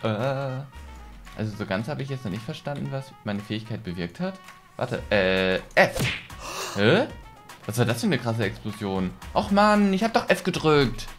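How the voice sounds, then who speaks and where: Male gaming host, twenties, very lively pitch, casual and expressive, close mic, faint music underneath. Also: normal speed. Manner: expressive, self-deprecating, thinking aloud. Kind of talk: let's play commentary, casual chat. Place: small room at home, indoors. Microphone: desk studio microphone, close.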